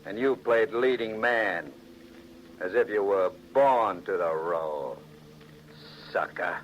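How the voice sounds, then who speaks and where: An actor playing a blunt, sardonic police trooper in a 1940s radio crime drama, talking in a hard-boiled, declarative way. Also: male voice, on the low side, slow pace, a little hiss or hum. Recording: dull old radio recording.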